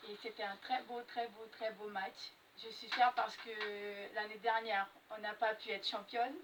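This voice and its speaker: A woman's voice: medium pitch, little pitch movement, measured pace, distant mic. A young woman giving spontaneous interview answers as a volleyball player. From French Guiana. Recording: slightly noisy, off-mic radio interview.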